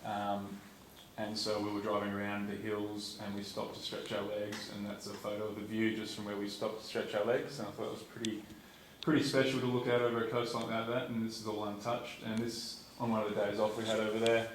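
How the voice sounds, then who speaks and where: A young Australian man speaks casually to a lecture audience, low-pitched and fairly monotone. He rambles and restarts often. The sound is distant and roomy, with steady noise behind him. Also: brisk. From Perth, Western Australia. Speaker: student speaker at a conference. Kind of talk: informal talk to a lecture audience. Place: lecture theatre. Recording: echoey hall, distant, noisy.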